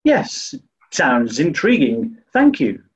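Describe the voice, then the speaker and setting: A man playing a dim-witted, stuffy upper-class English gentleman with an English accent in a 1940s-style radio comedy. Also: medium pitch, wildly swooping pitch, slow pace. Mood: breezy.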